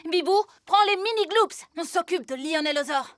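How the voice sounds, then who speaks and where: A woman voicing a bold, energetic child superhero in a French cartoon dub, urgent and emphatic. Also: very high voice, normal speed, very noisy. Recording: loud music behind. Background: loud cartoon music.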